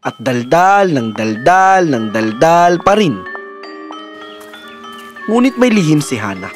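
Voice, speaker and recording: A man's voice: on the high side, big pitch swings, takes it slowly, faint music behind. A man narrating a scripted fairy tale in a dramatic storytelling manner.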